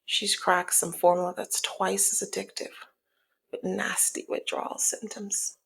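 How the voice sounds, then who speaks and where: A woman playing a teasing, dominant mob boss in an ASMR roleplay, with a breathy, husky voice that often slips into a whisper and a slyly menacing, sultry manner. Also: mid-range voice, little pitch movement, a little slow, a bit bright, full character voice. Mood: sly, businesslike. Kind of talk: ASMR roleplay audio drama.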